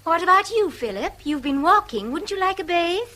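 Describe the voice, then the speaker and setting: Radio play: breezy, sociable English woman, forceful sharp tone, lively swoops, brisk and playful. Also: extremely high pitch, full character voice. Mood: teasing. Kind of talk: British radio drama acting.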